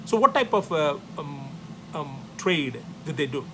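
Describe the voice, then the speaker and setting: A man with an Indian accent, Tamil his mother tongue, teaching a history lesson in loose, repetitive phrasing. His voice sits somewhat high for a man. A steady hum of background noise runs under the recording. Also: a little slow, rough recording. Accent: Indian English. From South India. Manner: loose, repetitive phrasing, numbered points, many tag questions. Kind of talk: classroom history lecture.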